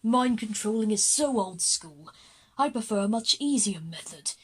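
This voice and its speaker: A woman voicing a menacing, gloating male devil in a children's audio drama, slow and grandiose with a deep, breathy tone. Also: lively intonation.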